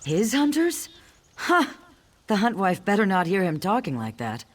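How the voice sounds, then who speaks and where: A woman's voice: mid-range voice, wildly swooping pitch, measured pace. An actress playing a wry female orc in a video game, mocking and frank. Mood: mocking, amused. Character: wry, sharp-tongued female orc clanswoman. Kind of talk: video game character acting.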